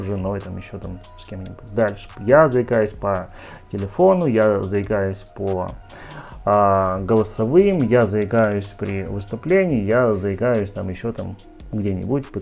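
A man's voice: fairly low pitch, vocal fry, darkish tone. A man in his thirties teaching as a speech coach in a home video lesson, earnest and direct, addressing the viewer with many fillers, on a lapel mic. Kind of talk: talking-head video lesson. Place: home office with shelves, indoors.

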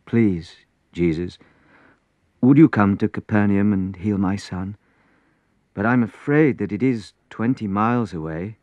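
A man voicing a determined, worried prince and father, forceful then pleading, in a storybook reading. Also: lowish voice, measured pace, no room sound, lo-fi sound, natural voice.

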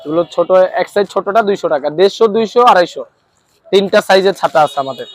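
A man's voice: high-pitched, animated, quick pace, a little hiss or hum. A man with a Bangladeshi accent working as a shop seller, giving casual sales talk in short, repetitive phrases as he shows his goods.

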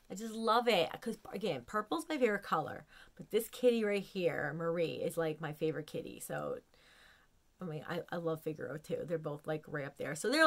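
A woman in her forties with an American accent, chatting casually and excitedly to camera in a room at home. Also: medium pitch. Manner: excitable, gushing. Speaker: vlogger showing a haul of collectibles. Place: small room at home, indoors.

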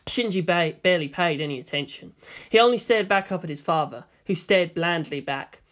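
A narrator reading fiction aloud as a dramatic scripted narration, with a medium-pitched voice. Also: brisk, fairly bright tone. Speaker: story narrator.

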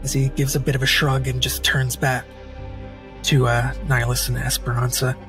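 A man's voice: medium pitch, level tone, moderate pace, over music. A man playing a tabletop roleplaying game, casually switching between folksy in-character talk and describing his character's actions.

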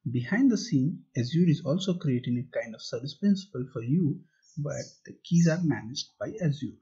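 A man walks through a tutorial step by step, posing questions and then answering them himself. His voice is husky and dark, and the recording sounds dull and muffled. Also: mid-range voice, animated, moderate pace. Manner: plain step-by-step explaining, asks and answers own questions. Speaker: tutorial instructor. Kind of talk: explaining slides and screen demo. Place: voice-over.

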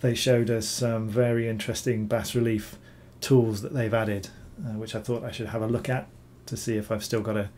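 A man, hoarse from recovering from illness, talking through a screen-recorded software tutorial, rambling with many ums and repeated words. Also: fairly low pitch, little pitch movement, moderate pace, hardly any pauses, slight background noise. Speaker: bas-relief sculptor reviewing software.

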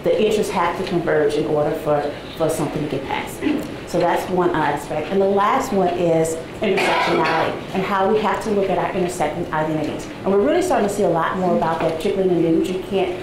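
Low-voiced woman, 40s, soft-spoken guest lecturer; distant camera, very roomy echo. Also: steady tone, quick pace. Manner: soft-spoken, frequent ums, conversational. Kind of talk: academic talk with slides. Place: large seminar room with audience. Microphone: far camera, no visible mic. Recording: echoey room, noisy background.

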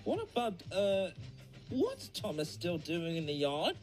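A woman voicing an excitable, childlike male diesel engine sidekick in a fan cartoon dub, gushing and eager. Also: on the low side, measured pace, faint music behind. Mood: eager, curious. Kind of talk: fan comic dub voice acting.

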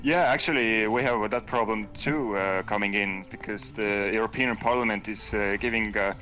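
A man from Estonia with an Estonian accent, chatting casually in a second language, halting and searching for words. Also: lowish voice, bright, forceful tone, soft background music, rough recording. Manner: halting, many ums and uhs, searching for words. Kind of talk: casual voice chat.

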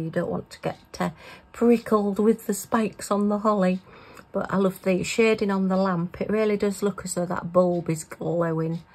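Chatty woman, gravelly voice. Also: lowish voice, a little mellow, poor audio. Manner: chatty, talks to herself. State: losing her voice. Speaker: craft vlogger. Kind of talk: casual chat while crafting.

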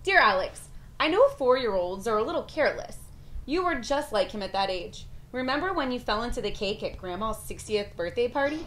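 A woman's voice: on the high side, lively intonation, brisk, fairly bright tone. A woman in her thirties reading a children's picture book aloud, recorded on a porch from a few feet away. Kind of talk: storybook read-aloud, letters in character. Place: covered porch with patio furniture. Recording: ambient noise on a porch.

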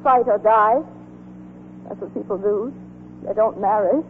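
An actress in a 1940s radio drama playing a blunt, guarded but tender-hearted army nurse with brusque, clipped retorts. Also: female voice, medium pitch, measured pace, lightly acted. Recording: muffled, echoey 1940s radio recording with hum.

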